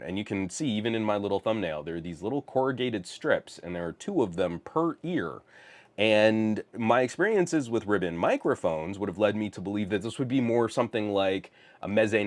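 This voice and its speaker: A man hosting a tech news podcast live, chatting casually in a rambling way and reading out the stream chat. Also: low voice. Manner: animated, rambling, restarts, reads chat aloud.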